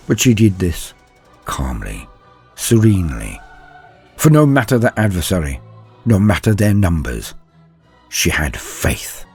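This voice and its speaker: A man delivering dramatic scripted narration as a host, solemn, theatrical and grandiose, with a husky voice. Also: low voice, a little mellow. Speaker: host and narrator of a lore show.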